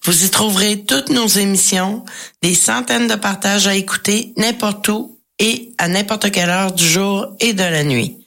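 A woman's voice: medium pitch, unhurried, creaky, a bit bright. A woman hosting a programme, giving announcements and introducing her guest. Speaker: radio show host. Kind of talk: show presenting, announcements.

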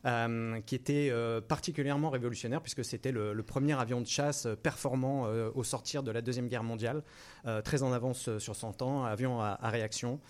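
A man answering as an interview guest, explaining without a script in a very halting way, with frequent hesitations and repeated words. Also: mid-range voice, steady tone. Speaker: interview guest, operations director in aerospace.

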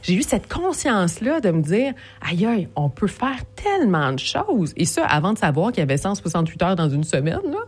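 Lively Québécois woman telling stories. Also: fairly low pitch, husky. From Montreal area, Quebec. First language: Portuguese. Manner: lively, quick storyteller who laughs often. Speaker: guest, consultant and speaker. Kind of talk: casual podcast interview.

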